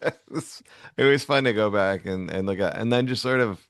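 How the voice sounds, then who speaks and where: Low, gravelly man in casual chat. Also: moderate pace, dry recording, studio quality.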